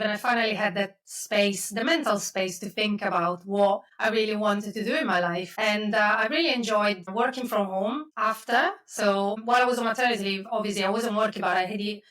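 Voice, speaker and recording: A woman's voice: medium pitch, a bit bright, recorded from a distance, poor audio. A woman from Milan in her thirties with an Italian accent, chatting as a podcast guest about her work, often restarting her sentences. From Italy (Milan). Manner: chatty, with frequent restarts and fillers. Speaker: podcast guest, systems and automation expert. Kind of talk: casual interview talk. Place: home office, video call.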